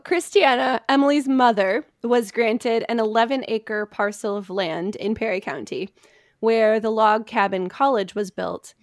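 A woman's voice: medium pitch, dry recording, clean studio sound. An American woman hosting a conversational history story, telling it from notes.

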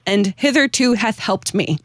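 An American woman with a mid-range voice hosts a casual conversation. She is informal and animated, with a giggly streak, moving between chat and reading aloud. The sound is dry and close. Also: mid-range voice, measured pace, no echo. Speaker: host presenting a hymn-writer's story. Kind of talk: casual chat with read-aloud hymn verses.

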